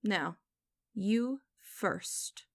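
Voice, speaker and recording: A woman playing a stern, mocking young company boss in a scripted audio roleplay, speaking in a formal, deliberate and condescending way. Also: mid-range voice, dry recording. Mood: cool, probing.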